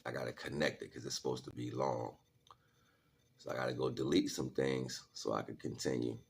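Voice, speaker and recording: A man's voice: extremely low pitch, fairly even intonation, a little fast, gravelly, slight background noise. A middle-aged man talking casually and conversationally on a livestream, rambling and often checking that listeners follow. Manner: conversational, frequent "you know what I'm saying" tags. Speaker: livestream host. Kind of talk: casual rambling spiritual talk to live chat.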